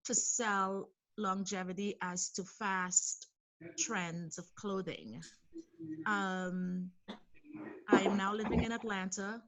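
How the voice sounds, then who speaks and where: A woman from Trinidad who grew up in Brooklyn, a clothing designer, introducing herself in a measured way on a video call. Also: mid-range voice, a bit bright, slight background noise, low-quality recording.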